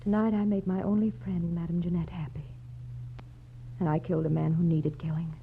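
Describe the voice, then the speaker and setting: On a tinny, hissy old radio recording, a bitter woman played by an actress speaks in a lowish voice. She is controlled and fatalistic, giving orders with cold resolve in 1940s drama style. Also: flat delivery, a little fast, lightly acted. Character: bitter, resolute woman who has killed her husband.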